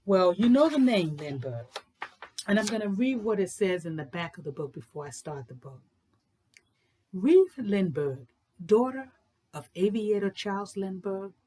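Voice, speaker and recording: A woman presenting and reading children's books aloud in an informal, explanatory way with deliberate, emphatic phrasing. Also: mid-range voice, a little mellow. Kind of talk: informal book talk and storybook read-aloud.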